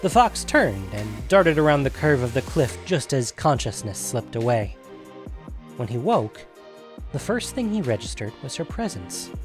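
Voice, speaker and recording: A man reading scripted fantasy fiction as a narrator, in a measured, dramatic storytelling manner. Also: medium pitch, very animated, music in the background.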